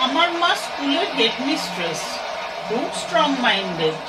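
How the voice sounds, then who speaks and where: A woman acts a polite, educated young Bengali woman in a radio play. Her voice is high and very animated, with a composed, questioning manner. It is recorded a little off the microphone amid clear noise. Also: moderate pace, recorded from a distance. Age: young adult. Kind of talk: audio play acting.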